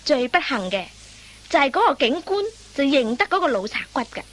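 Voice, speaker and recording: A woman's voice: high-pitched, big pitch swings, brisk, a bit bright. A woman narrating a scripted radio comedy drama as a storyteller.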